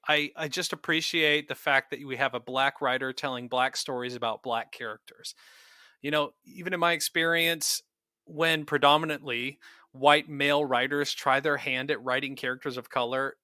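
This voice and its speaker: Bright-voiced man chatting effusively as podcast host. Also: medium pitch, dry recording, clean studio sound. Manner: earnest, effusive. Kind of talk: casual, enthusiastic review chat.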